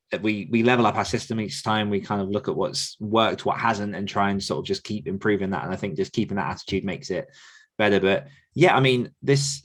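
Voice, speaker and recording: A man hosting a podcast casually, in an enthusiastic, effusive manner. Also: lowish voice. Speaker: podcast host and author coach.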